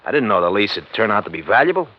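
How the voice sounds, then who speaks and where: An American actor playing a shifty, protesting club owner in a 1950s radio crime drama. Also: male voice, lowish voice, very animated, rapid-fire. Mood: defensive, nervous. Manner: stammering, protesting. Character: defensive, shifty male supper club owner. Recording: dull vintage radio recording.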